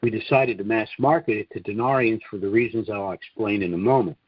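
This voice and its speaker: A man hosting a conference call, delivering an informal monologue briefing in deliberate phrases with emphatic pauses and a husky voice. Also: on the low side, dry recording. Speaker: conference call host and presenter. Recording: dull, lo-fi conference call audio.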